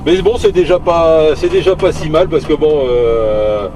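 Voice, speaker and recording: A man's voice: on the high side, unhurried. A man in a casual radio chat, speaking in an easygoing, hesitant way and often repeating himself. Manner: hesitant, repetitive, easygoing phrasing. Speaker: CB radio operator driving. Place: inside a moving vehicle. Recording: loud vehicle and road noise.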